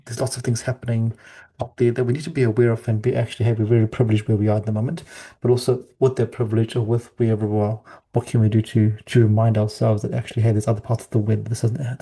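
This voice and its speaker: Husky, low-creaking New male podcast host. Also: mellow. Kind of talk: casual podcast conversation.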